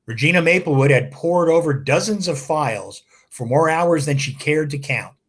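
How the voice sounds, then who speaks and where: A male editor reading literary fiction aloud in a measured, even storytelling manner. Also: fairly high pitch, husky. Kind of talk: literary read-aloud narration.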